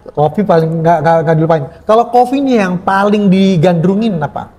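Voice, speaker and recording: A man, casually interviewing a cafe chef and prompting him with quick affirmations. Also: sits high, warm tone. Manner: chatty, prompting with short affirmations.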